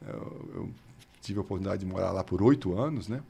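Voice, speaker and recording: A man's voice: sits low, a little fast, gravelly, warm tone, husky. A man in his fifties with a Brazilian Portuguese accent, a guest chatting casually in an interview and often laughing as he tells stories. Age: 50s.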